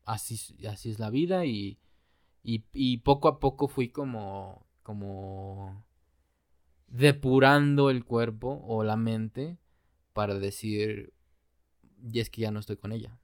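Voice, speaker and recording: A young man from Mexico with a Mexican Spanish accent, talking in a casual chat, slangy and quick to laugh, then slow and thoughtful. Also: fairly low pitch, no echo, studio quality. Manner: slangy, laughs often, turns reflective and slow when talking about his breakup.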